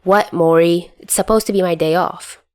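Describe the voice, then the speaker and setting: A woman's voice: lowish voice, steady tone, moderate pace, a little mellow, clean tone, lightly acted. Woman voicing a cheeky, sarcastic teenage mafia boy. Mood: annoyed. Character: flippant, sulky seventeen-year-old boy mafia operative.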